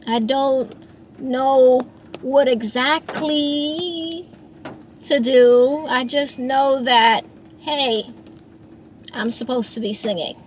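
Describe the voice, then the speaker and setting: Woman, thirties, slightly high voice, unhurried, rambling reflective vlog talk, restarts often, faint background noise. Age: 30s. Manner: rambling, frequent restarts and fillers. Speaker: singer and songwriter vlogger. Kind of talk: reflective casual monologue.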